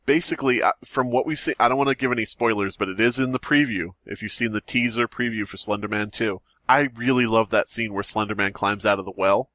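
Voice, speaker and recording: A man co-hosting a podcast, chatting in a jokey, animated way and laughing often. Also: on the low side, quick pace, hardly any pauses, no room sound. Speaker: podcast co-host. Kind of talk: casual comedic banter.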